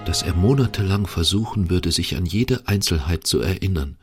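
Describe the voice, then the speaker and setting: Deep-voiced male radio-drama narrator. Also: lively intonation, normal speed, over music. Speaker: second narrator.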